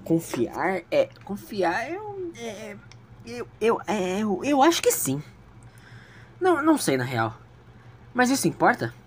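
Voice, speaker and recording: A man's voice: high-pitched, wildly swooping pitch, takes it slowly, breathy edge, pitched above the performer's own voice, exaggerated voice. A man playing an anxious, hot-tempered young student politician in an amateur audio drama, stammering and swearing. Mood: nervous, doubtful.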